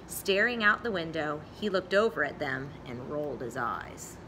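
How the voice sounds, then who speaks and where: A woman storyteller reading a children's story aloud in an expressive narrating style. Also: sits low, normal speed, background noise.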